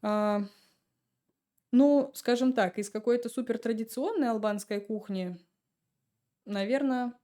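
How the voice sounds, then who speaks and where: Podcast guest: woman, mellow tone, easygoing chatty storyteller. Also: on the high side, measured pace, long pauses. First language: Russian. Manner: relaxed, chatty storytelling with laughs. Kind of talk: casual chat.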